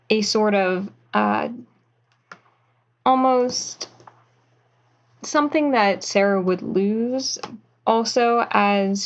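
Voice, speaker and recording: Slow-talking thoughtful woman, muffled sound. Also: mid-range voice. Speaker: writer and actor, guest. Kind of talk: reflective interview answers.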